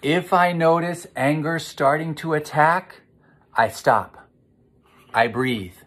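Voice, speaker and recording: A middle-aged American man reading aloud and gently guiding children, explaining things and putting questions to his listeners. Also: a little high, slow. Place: small living room, indoors.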